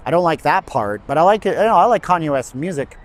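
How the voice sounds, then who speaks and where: A man's voice: on the high side, lively intonation. A man hosting a casual livestream from outdoors on a city street, reading viewer comments and rambling through his opinions. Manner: rambling and opinionated, quick asides to chat. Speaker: livestream host.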